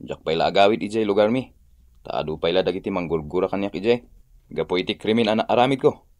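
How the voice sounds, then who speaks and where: A man with an Ilocano accent playing a calm, placating cousin in a radio drama. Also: deep voice, quick pace, dry recording, close to an everyday voice. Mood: subdued, conversational. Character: calm peacemaking male cousin back from prison.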